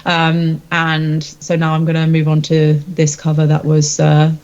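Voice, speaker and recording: A woman's voice: sits low, monotone, a little slow, darkish tone, clear, resonant tone, muffled recording. A woman, a singer-songwriter, chatting casually and informally in an interview in a rambling way.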